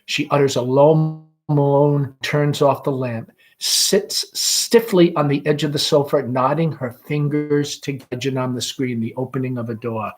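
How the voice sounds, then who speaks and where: A man reading a play aloud, narrating its stage directions as a school principal in a read-along. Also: medium pitch.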